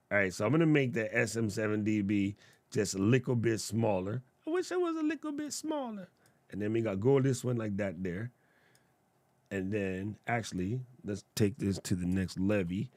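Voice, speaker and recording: A man hosting a casual livestream, demonstrating gear in a playful, jokey way and chatting with viewers in a naturally loud voice, close on a studio mic. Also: on the low side, no room sound. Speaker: tech reviewer livestream host. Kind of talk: casual livestream product demo. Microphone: studio broadcast mic up close.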